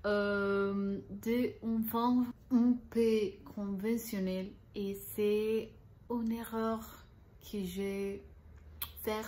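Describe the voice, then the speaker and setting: A woman with a Spanish accent talks unscripted in a learner's vlog. Her delivery is slow and halting, with frequent pauses while she searches for words and restarts her sentences. She laughs lightly at her own mistakes along the way. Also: medium pitch. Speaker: language-learning vlogger.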